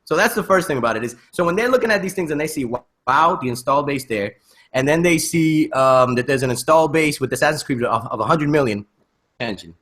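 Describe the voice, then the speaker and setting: A man hosting a casual panel discussion, opinionated and animated, checking in with rhetorical questions over a video call. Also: on the high side, a little fast. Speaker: podcast host.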